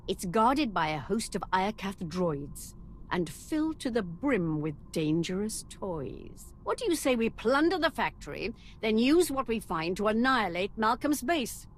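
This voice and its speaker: An actress playing a shrewd, scheming empress in a video game, with a haughty and theatrical delivery. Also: female voice, medium pitch, sing-song, a little slow, slight background noise. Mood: scheming, playful. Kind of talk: video game cutscene acting.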